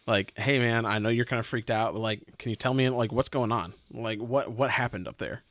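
A man's voice: medium pitch, fairly even intonation, a little fast, fairly bright tone, no room sound, clean studio sound. A man playing a tabletop roleplaying game, chatting informally and slipping casually into in-character speech. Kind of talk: casual improvised roleplay chat.

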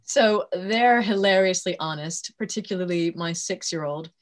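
A woman's voice: on the low side, normal speed. Laughing American woman, voice actress. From United States. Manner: laughs often, self-deprecating, lively storytelling. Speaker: voice actress guest. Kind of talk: casual interview chat over a call.

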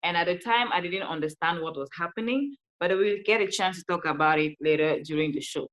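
A woman from Cameroon with a Cameroonian accent, telling her story as an interview guest in an animated way with frequent laughs. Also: lowish voice, brisk. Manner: animated, laughs often, restarts sentences. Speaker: guest, life coach.

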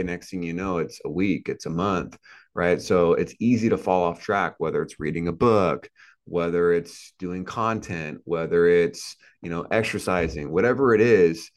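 This is a man in his thirties with a low-pitched voice. He talks like a motivational podcast guest, earnest and emphatic. He builds his points through repetition and checks in with short rhetorical tags. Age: 30s. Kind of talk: motivational interview talk.

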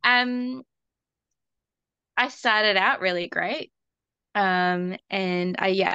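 A woman's voice: on the low side, vocal fry, a bit bright, dry recording. Coaching client, woman with a Kiwi accent, candid and rambling, slightly dull phone sound. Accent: New Zealand. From New Zealand. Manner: rambling and candid, with frequent ums and laughs. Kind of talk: casual group call.

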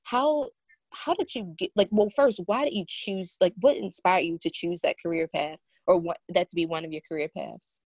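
A woman's voice: on the low side, moderate pace, darkish tone, no echo. A woman hosting a conversational podcast interview, speaking in an effusive, rambling way. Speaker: podcast host.

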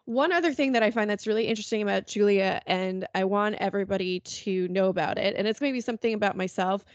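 A woman hosting a podcast, chatting in a relaxed way and laughing often. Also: medium pitch, fast talker, no echo, highs cut off. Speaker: podcast host. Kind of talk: casual podcast chat.

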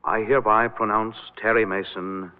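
An actor playing a stern, formal prison official in a 1940s radio drama, grave and measured. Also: male voice, fairly low pitch, steady tone, clean tone, long reverb tail, lightly acted. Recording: tinny, distant old radio recording.

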